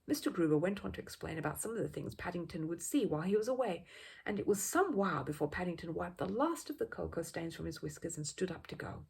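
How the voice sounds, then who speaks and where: A woman reading a children's story aloud as an expressive narrator. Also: lowish voice, fast, creaky, husky. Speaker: audiobook reader.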